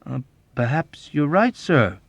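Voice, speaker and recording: A man voicing a sly, timid wounded airman in an audiobook, deferential but quick to protest. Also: medium pitch, very animated, no room sound, pitched above the performer's own voice, close to an everyday voice. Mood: sly deference. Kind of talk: audiobook character acting.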